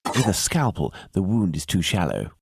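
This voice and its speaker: An actor playing a keen, self-assured gentleman detective with an English accent in a video game, precise and formal in his manner. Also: low voice, huge pitch swings, moderate pace, vocal fry, a little hiss or hum, full character voice. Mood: analytical.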